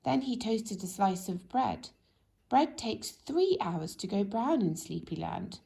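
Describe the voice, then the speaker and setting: A woman narrates a children's bedtime story in a medium-pitched voice with a noticeable creak. She reads expressively and gently, drawing words out and sinking into a hushed tone. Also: expressive, takes it slowly. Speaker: storybook reader.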